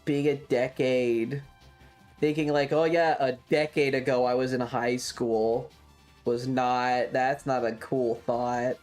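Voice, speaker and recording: A young adult streamer talks off the cuff to a live chat. The voice sits at a medium pitch, and the delivery wanders, doubling back on phrases with hesitation. Music plays underneath. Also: fairly even intonation, slow, a little mellow. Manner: rambling, hesitant, repeats phrases. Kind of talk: casual livestream chat.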